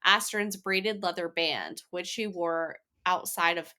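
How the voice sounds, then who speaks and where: Female book podcast co-host, crisp bright edge, slightly low pitch, informal but organized delivery. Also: slow. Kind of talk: casual book club chat, reads prepared chapter summaries.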